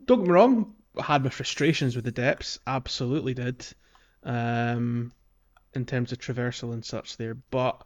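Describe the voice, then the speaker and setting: A man co-hosting a podcast, chatting casually about a video game and filling pauses with ums. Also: mid-range voice, highs cut off. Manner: relaxed, many ums, walks through game areas. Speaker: podcast co-host.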